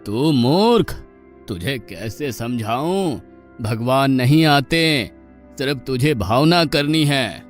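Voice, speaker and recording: A man playing a stern, pious old ashram guru in an animated moral story, admonishing and patient but exasperated. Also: mid-range voice, takes it slowly. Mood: exasperated explaining. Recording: music bed behind the voice.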